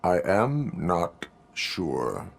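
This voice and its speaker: Deep-voiced cyborg policeman, formal and clipped, dutiful; game dialogue over noisy ambience. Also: very animated, very slow, gravelly, heavily acted. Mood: stoic, dutiful. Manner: clipped, robotic, formal delivery. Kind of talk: video game voice acting.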